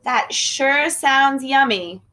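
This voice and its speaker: Hungry little storybook boy, slow and dreamy, drawn out, a woman doing the voice. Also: high voice, expressive, pushed and bright, pitched above the performer's own voice, heavily acted. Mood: longing.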